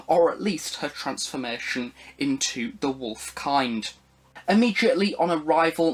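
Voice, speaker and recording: A young man with a husky voice, reading a scripted review of an audio drama in formal, measured phrasing. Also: fairly high pitch.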